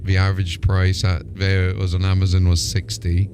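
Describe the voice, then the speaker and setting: A man's voice: sits low, completely flat delivery, normal speed, noisy background. A man chatting casually on a game stream in an informal, matey, sweary way while talking through technical fixes. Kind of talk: casual live stream chat, troubleshooting software.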